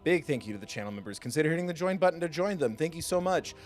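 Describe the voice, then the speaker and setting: A man hosting a video game livestream, chatting casually and haltingly with viewers in a self-deprecating, joking way. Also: fairly high pitch, lively intonation. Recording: music behind the voice. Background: music.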